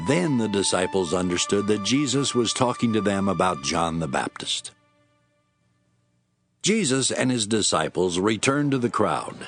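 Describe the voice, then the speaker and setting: A man's voice: fairly low pitch, animated, measured pace, a bit bright. A man giving scripted narration of scripture as the narrator of an audio drama. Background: music.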